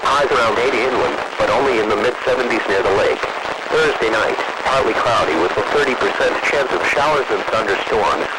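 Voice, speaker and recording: A man's voice: mid-range voice, animated, brisk. An American man reading a scripted weather bulletin over radio in a formal, impersonal, automated-sounding way. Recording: very noisy, static-filled weather radio reception.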